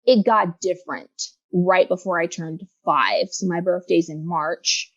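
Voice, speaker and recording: A woman in her thirties talks slowly through her thoughts in a casual interview, pausing often and filling gaps. Her voice sits low. The sound is dry and a little dull. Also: no echo. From Los Angeles. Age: 30s. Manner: reflective, halting, many fillers. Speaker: guest.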